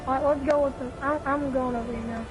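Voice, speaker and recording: A high-voiced gamer casually chatting and calling out tactics to teammates during a multiplayer video game. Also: steady tone, fast, highs cut off, over music. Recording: clearly noisy gaming mic recording.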